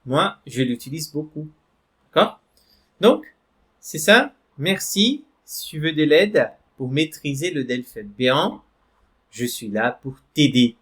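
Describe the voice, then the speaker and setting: Man teaching French slowly. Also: a little high, sing-song, fairly bright tone, breathy edge. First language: Portuguese. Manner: slow, repeats "d'accord?" and "voilà" to check in; reads screen text aloud while explaining. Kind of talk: online language lesson.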